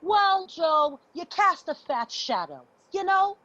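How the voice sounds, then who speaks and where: A woman's voice: very high voice, very animated, slow, fairly bright tone, dull audio, full character voice. An actress playing a brash, confrontational street woman in a stage play reading. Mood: furious. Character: brash, confrontational, foul-mouthed street woman named Jillian.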